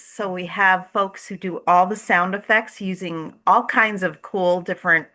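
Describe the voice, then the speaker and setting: Bright, forceful woman explaining unhurriedly. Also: lowish voice. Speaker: theater co-director, interview guest. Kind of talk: explanatory interview talk.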